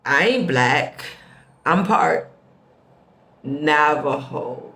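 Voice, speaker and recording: A middle-aged American woman from the Midwest telling personal stories casually, with expressive emphasis and repeated phrases. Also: low voice, very slow. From Midwestern United States. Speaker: host. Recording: roomy, echoing sound.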